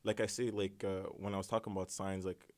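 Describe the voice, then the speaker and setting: A young man from Canada, a rapper, talking casually in an interview, relaxed and rambling with lots of slang and filler. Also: low-pitched, fast talker, dry recording. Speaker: rapper guest.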